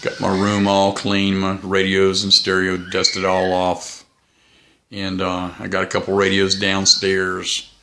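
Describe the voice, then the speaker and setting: A middle-aged man with a low voice and a gravelly, crackling edge. He talks off the cuff like a hobbyist reviewer, rambling along in a folksy, opinionated way, and his tone is bright and forceful. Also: completely flat delivery, slow. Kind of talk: casual unscripted product review. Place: room in a house.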